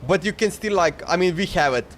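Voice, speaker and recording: A man's voice: high voice, a little fast, a little hiss or hum. A man doing casual, slangy live commentary as he streams a video game. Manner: slangy, informal. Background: game music.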